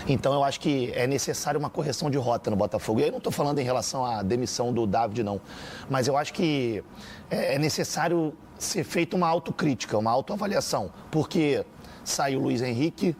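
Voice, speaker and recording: A man's voice: mid-range voice, expressive, fast talker, a little mellow, slight background noise. A man with a Brazilian Portuguese accent, a sports panelist arguing his opinions in a casual football debate. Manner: opinionated, builds arguments with repeated phrases.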